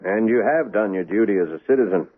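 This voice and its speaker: An actor playing a hard-nosed police lieutenant in a 1970s radio drama. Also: male voice, lowish voice, expressive, quick pace. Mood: probing. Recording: tinny lo-fi vintage radio recording.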